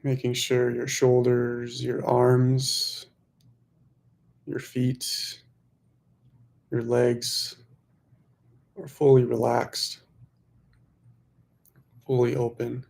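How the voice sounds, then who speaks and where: A man leading a guided meditation, giving gentle instructions in unhurried phrases with pauses. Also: mid-range voice, completely flat delivery, creaky.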